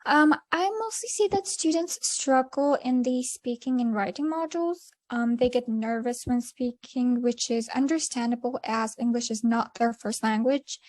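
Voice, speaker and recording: A young woman from Iran with an Azerbaijani accent, an English teacher giving a short talk and answering questions, pausing often with fillers. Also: fairly high pitch, a little mellow, no room sound. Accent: Iranian Azerbaijani. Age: young adult. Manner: hesitant, many fillers. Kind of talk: presentation then Q&A.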